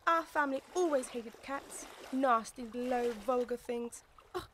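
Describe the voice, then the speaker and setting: A nervous little lady mouse in an audiobook drama. The voice is high, quivering and quick to take offence. Clear watery noise surrounds it. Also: a bit bright. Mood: offended, trembling. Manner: trembling, indignant delivery. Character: small, nervous, easily offended female mouse. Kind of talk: full-cast audiobook acting.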